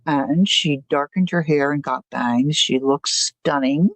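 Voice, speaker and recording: A woman's voice: low-pitched, unhurried, no echo. An older woman hosting a livestream, chatting casually and rambling through a story. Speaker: livestream host. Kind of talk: casual chat.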